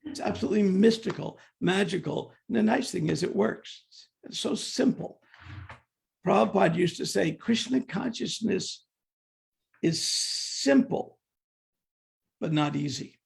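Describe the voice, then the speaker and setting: An older man with a heavily gravelly, creaky voice and a fairly high pitch gives a devotional class. He talks conversationally, jokes with the listeners and stacks up repeated "and, and" restarts as he tells stories. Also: expressive, unhurried. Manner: conversational, humorous, questions the audience. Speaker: class speaker.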